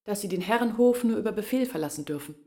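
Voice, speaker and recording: A woman's voice: medium pitch, animated, fast, mellow, natural voice. An actress playing an earnest young village woman, explaining in a radio play. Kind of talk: radio drama acting.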